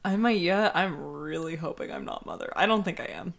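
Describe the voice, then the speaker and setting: A young woman with a deep voice, co-hosting a podcast and telling rambling stories full of filler words. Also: moderate pace, vocal fry. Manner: rambling anecdotes, many "like" fillers. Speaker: podcast co-host. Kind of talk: casual podcast chat.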